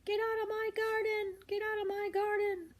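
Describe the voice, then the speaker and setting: A woman's voice: very high voice, level tone, normal speed, pitched above the performer's own voice. An older woman voicing an angry male farmer from a storybook, scolding and blustering as he chases a thief. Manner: loud, scolding, calling out.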